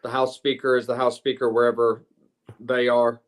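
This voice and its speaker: Halting bilingual man, searching for words. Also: medium pitch, flat delivery. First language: English. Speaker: lawyer and former state senator, guest. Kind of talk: bilingual interview answers.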